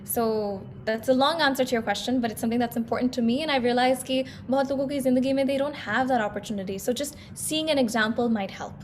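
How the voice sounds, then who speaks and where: A woman's voice: a little high, steady tone, very fast, hardly any pauses, slight background noise. A woman in her twenties with an American accent, a guest singer chatting on a talk show and slipping between English and Hindi. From United States. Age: 20s. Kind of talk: casual talk show conversation.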